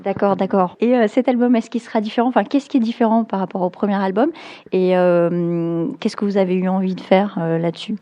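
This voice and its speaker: A woman radio interviewer leads a conversation with friendly, steady questions. Her voice is mellow, and the sound is slightly dull and lo-fi. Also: medium pitch, brisk.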